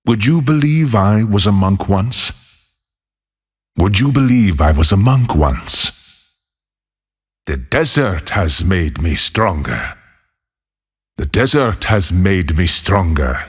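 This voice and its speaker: Deep, husky, brooding desert outlaw. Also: male voice, heavily acted. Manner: measured, solemn, brooding. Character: solitary lawless desert wanderer, haunted male former monk. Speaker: video game character voice actor. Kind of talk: scripted game character voicelines.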